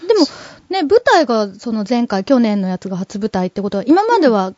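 A woman's voice: on the high side, sing-song, fairly quick, darkish tone, no room sound. A woman co-hosting a casual radio chat. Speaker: radio co-host. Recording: dull lo-fi audio. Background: faint music.

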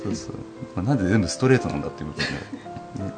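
A man with a lowish voice fires off casual wisecracks at great speed. His delivery is lively and repetitive for emphasis, with music clearly behind him. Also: rapid-fire. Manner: repeats phrases for emphasis, wisecracking. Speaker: podcast cast member. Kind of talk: casual comic banter and heckling.

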